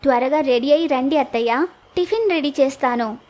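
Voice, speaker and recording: A young daughter-in-law in a village tale, played by a woman narrator, high-pitched and polite. She coaxes sweetly and innocently, eager to please, over background music. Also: normal speed, darkish tone, close to an everyday voice. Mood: cheerful, affectionate. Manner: polite, cheerful coaxing. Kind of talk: animated village moral story acting.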